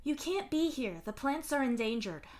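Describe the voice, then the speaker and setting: A woman's voice: high-pitched, sing-song, normal speed, voice pushed up. A woman reading the part of a polite but firm female scientist in a story.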